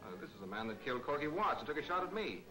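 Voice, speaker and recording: A no-nonsense male police detective from a 1940s film serial. He talks quickly in clipped, determined lines over clear background music on an old soundtrack. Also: fairly high pitch, big pitch swings, rough recording. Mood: resolute. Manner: brisk, clipped.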